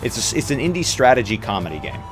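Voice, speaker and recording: A man hosting a livestream, chatting casually about games in an excitable, jokey way. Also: medium pitch, very fast. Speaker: livestream host. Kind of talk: casual game-stream chat. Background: music.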